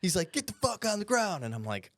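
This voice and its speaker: An American man from Cleveland telling stories casually on a podcast, animated and profane, with bro-like slang. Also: high voice, fast, dry recording. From Cleveland, Ohio, United States. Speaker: podcast co-host. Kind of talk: casual storytelling.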